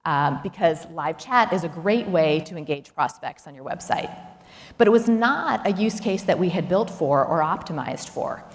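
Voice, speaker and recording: A woman's voice: fairly low pitch, animated, normal speed. Woman keynote speaker in an echoey hall. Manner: instructive, emphatic, with rhetorical questions. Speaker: chief executive giving a conference talk. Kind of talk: prepared business keynote to an audience. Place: large indoor talk venue. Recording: echoey hall, noticeable background noise.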